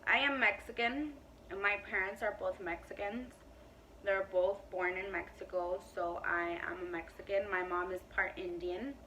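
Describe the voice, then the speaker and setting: A woman's voice: medium pitch, fairly even intonation, moderate pace, bright, forceful tone, far from the mic, slight background noise, poor audio. A woman in her twenties chatting casually to camera in a beauty Q&A, emphatic and full of "like" and "you know.". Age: 20s. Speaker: beauty vlogger.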